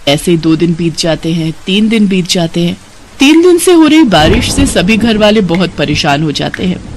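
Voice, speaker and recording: A man's voice: sits high, sing-song, moderate pace, a little hiss or hum. A man narrating a scripted moral story for a cartoon.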